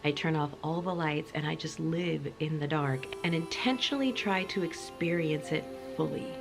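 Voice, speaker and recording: A woman host chatting playfully to camera with cozy enthusiasm, her voice a little off because she is coming down sick, sometimes dropping into a spooky whisper. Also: on the low side. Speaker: channel host. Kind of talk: cozy casual chat to camera.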